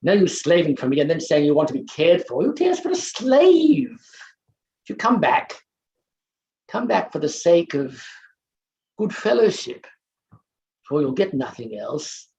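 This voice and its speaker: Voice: medium pitch, sing-song, unhurried, gravelly, pauses often, mellow. Overbearing middle-aged male professor played by an actor, stage drama, declamatory and scornful, wide swooping delivery, echoey room. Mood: scornful, indignant. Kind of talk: theatrical staged play reading. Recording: roomy, echoing sound.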